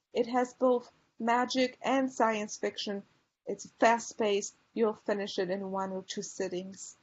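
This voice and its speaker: A woman's voice: on the high side, lo-fi sound. A woman from Poland with a Polish and Chicago accent, giving a conference presentation and recommending books with enthusiasm and frequent fillers. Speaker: librarian presenter.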